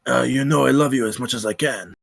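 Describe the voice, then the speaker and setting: Stoic count male actor: husky, dry close sound, terse orders, stern bearing, dramatic script read. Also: medium pitch, fast talker, no echo. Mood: guarded. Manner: terse, commanding. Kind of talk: dramatic screenplay table read.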